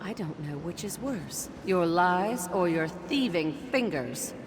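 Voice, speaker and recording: A stern, haughty noblewoman gives cruel orders in a fantasy game. Her delivery is lively and imperious, ringing with long reverb over a noisy backdrop. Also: fairly low pitch, takes it slowly. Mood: imperious anger. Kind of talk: video game drama acting.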